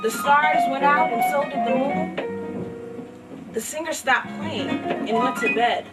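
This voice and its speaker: High-voiced woman at a distant mic. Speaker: actress performing a poetry reading and leading discussion. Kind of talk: dramatic, half-sung poetry recital and casual audience discussion. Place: library event room, heard from a distance. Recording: live event, distant mic, piano music behind.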